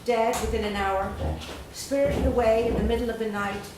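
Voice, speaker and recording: A middle-aged Irish woman from Dublin reading her poems slowly and with pauses at a literary evening, chatting hesitantly between them. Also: fairly high pitch, steady tone, noisy background. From Dublin, Ireland. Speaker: guest poet. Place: event room at a literary gathering. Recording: very echoey room, voice far off.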